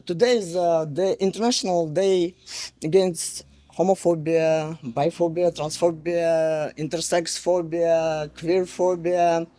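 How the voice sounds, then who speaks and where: A man from Russia with a Russian accent, giving a public speech slowly and haltingly with many pauses and fillers. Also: a little high, warm tone. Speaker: guest speaker.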